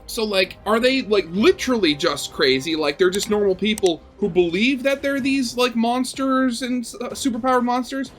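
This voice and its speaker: A man's voice: very high voice, normal speed, few pauses, faint music behind. A young man hosting a reaction video, chatting casually and rambling with lots of fillers and restarts.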